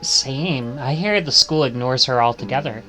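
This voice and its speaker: A man's voice: mid-range voice, normal speed, sharp tone, faint music behind, poor audio. A man reading visual novel text aloud as a streamer, stumbling and correcting himself, with casual asides.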